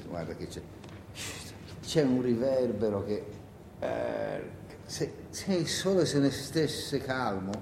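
A man's voice: mid-range voice, darkish tone. An actor playing a weary, hungry wartime partisan who mutters and rambles to himself in a theatrical monologue, breaking off his own sentences. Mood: weary muttering, then agitated. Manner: rambling, muttering, self-interrupting, exclaiming. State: tired. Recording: roomy echo with faint noise.

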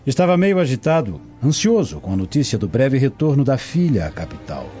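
A man as Roman official, very expressive pitch, soft dark tone, radio serial. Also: a little fast, husky. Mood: dry, gossipy. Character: Roman prefect reporting political news to his wife. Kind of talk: radio drama acting. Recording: dull lo-fi audio with music.

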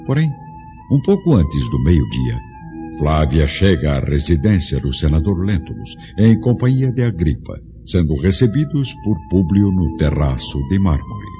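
A man giving measured, grave scripted narration for a radio drama. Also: low voice, wildly swooping pitch, lo-fi sound, music in the background.

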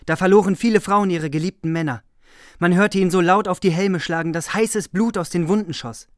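A man plays a courtly messenger in a medieval radio drama. His fairly high voice is formal, proud and declamatory, recorded dry and close. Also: fairly quick, no room sound, natural voice. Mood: proud, admiring. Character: loyal messenger knight, proudly reporting battle to a princess. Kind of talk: German radio play acting.

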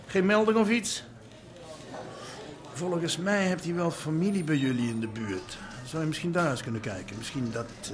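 A man's voice: fairly high pitch, lightly acted. An actor playing an evasive policeman, casually coaxing and clipped, in a radio drama.

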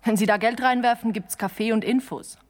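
An actress playing a brisk, dismissive university receptionist who can't be bothered, in a radio crime comedy. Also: female voice, on the high side, lightly acted.

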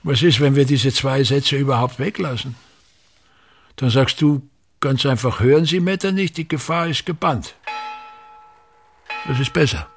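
A man's voice: medium pitch, a little slow, long pauses, slightly rough. An actor playing a pompous, domineering, embittered older theatre man in a radio play, declaiming and repeating himself. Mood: fussy, commanding. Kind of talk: theatrical radio drama monologue.

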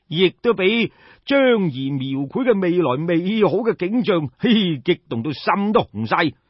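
A man's voice: a little high, breathy edge. A man with a Cantonese accent telling a traditional story as a dramatic audiobook narration. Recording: echoey room, slightly dull sound.

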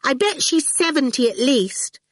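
A bratty young girl from a storybook acted by a woman narrator. Her voice is pushed high and rude, blunt and demanding, recorded close and dry. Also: lively intonation, slow, a bit bright, no room sound, full character voice. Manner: blunt, insistent. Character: rude, defiant, loud young girl, sulky troublemaker. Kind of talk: audiobook character voice.

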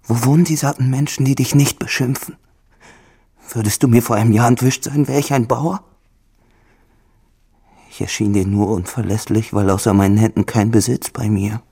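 Gravelly, jealous, brooding farmhand. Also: male voice, medium pitch, fairly even intonation, normal speed, long pauses, warm tone. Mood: bitter, pleading. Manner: brooding, insistent, menacing. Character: poor, jealous, possessive farmhand pressing a woman to marry. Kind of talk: German radio drama acting.

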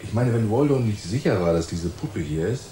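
A man acting a hard-boiled cop in a radio drama, in a low, dark voice that swings widely in pitch. He is brusque and condescending, heard in an echoing room. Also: expressive, a little fast, natural voice. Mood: dismissive, scornful. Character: gruff, scornful, hard-boiled male police inspector. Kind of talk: hardboiled radio play dialogue. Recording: roomy echo with faint ambient noise.

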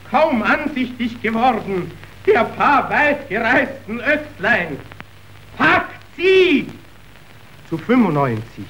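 Slow, theatrical male messenger of doom. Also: on the high side, fairly bright tone, a little hiss or hum, exaggerated voice, low-quality recording. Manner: theatrical, dramatic, swinging from grave to frenzied. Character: impassioned male messenger reporting a stock market collapse. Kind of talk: declamatory verse narration in German radio drama.

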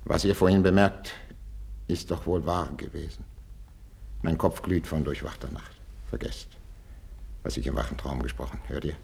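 An actor playing an aging, jealous and imperious king in a 1950s German radio drama, husky and declamatory. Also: extremely low pitch, moderate pace, pauses often, darkish tone, full character voice. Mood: weary, commanding. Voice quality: gravelly, husky. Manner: declamatory, swinging between whispers and outcries. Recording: old 1950s radio recording.

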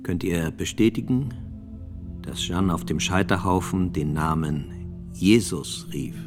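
Ein Schauspieler spielt in einem Hörspiel einen Vernehmer, der Zeugen förmlich und bedächtig befragt. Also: male voice, low-pitched, music in the background. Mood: formal, probing. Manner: formal, deliberate questioning. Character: formal inquisitor questioning witnesses at a medieval inquiry. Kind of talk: radio play interrogation.

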